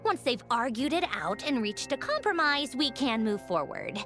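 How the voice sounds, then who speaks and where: Teasing, self-assured female engineer boss, game character. Theatrical delivery, highly varied intonation. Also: very animated, moderate pace, bright, forceful tone, faint music behind. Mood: brisk, matter-of-fact. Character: breezy, teasing female Fatui engineer with authority. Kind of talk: video game voice acting.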